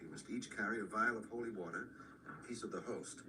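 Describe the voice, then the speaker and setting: An actor playing a solemn older scholarly man in a radio drama, instructing vampire hunters in a deliberate, didactic way. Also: on the low side, natural voice. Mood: solemn, instructive. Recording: distant, noisy replay of radio broadcast.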